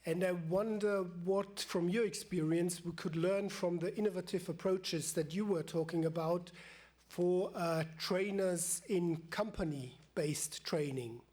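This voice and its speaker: A man asks a formal question from the audience. His voice is high for a man, and he phrases things carefully and in a measured way without a script. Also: a little mellow. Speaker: audience member from a vocational training institute. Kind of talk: unscripted formal question to a panel.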